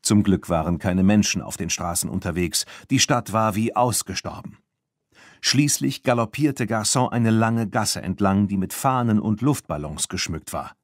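A man narrating a children's audiobook in an animated storytelling manner. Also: on the low side, normal speed, dry recording, clean studio sound. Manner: animated storytelling, acting out dialogue.

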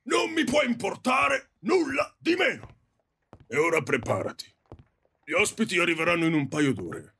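A man's voice: sits high, very animated, normal speed, gravelly, sharp tone, a little hiss or hum, heavily acted. A man playing a stern, overbearing father in an audio drama, scolding and domineering. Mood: angry, domineering. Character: stern, overbearing father pushing daughter into marriage.

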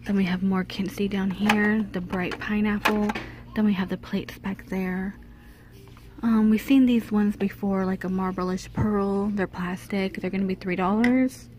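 A woman's voice: medium pitch, steady tone, unhurried, noisy background. A woman chatting casually as she walks through a home goods store, reading out prices and brands on a handheld phone camera. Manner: chatty, reads out prices, small laughs. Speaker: shopping vlogger.

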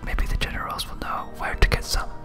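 Someone whispering softly and breathily in hesitant, rambling, self-deprecating ASMR commentary while playing a game. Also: a little fast, over music. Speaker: host, game streamer.

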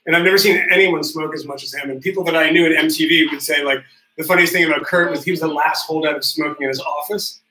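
A man with a slightly high, bright voice tells loose, self-mocking stories in easy conversation. The sound is roomy and reverberant, caught by a camera across a home living room. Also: steady tone, fast, distant mic. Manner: rambling, self-deprecating storyteller. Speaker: podcast host getting a haircut. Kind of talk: casual chat. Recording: echoey room.

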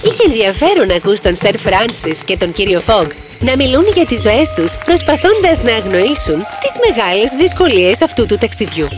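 Woman narrating a story, lively pitch. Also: few pauses, over music.

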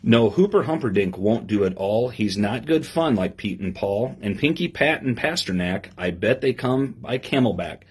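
A middle-aged man reading aloud to children in an expressive sing-song way, with simple asides to his listeners. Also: fairly low pitch, normal speed, hardly any pauses. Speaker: police lieutenant reading to children. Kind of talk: read-aloud story time with asides to young listeners. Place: small office, indoors. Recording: clear background noise with clicks.

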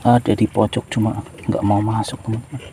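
A man's voice: lowish voice, little pitch movement, a little fast, noisy background. A man casually commenting on his catch for viewers, eager and chatty, outdoors in a shallow river. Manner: eager, addresses viewers as friends. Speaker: fishing vlogger.

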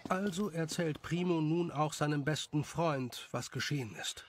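A man's voice: on the high side, moderate pace, a little hiss or hum. A man reading scripted story narration as the narrator of an audio tale.

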